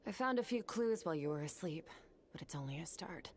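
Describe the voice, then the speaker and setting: A woman voicing a calm, composed female mentor in a game, brisk and businesslike. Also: sits low, slight background noise. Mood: cool.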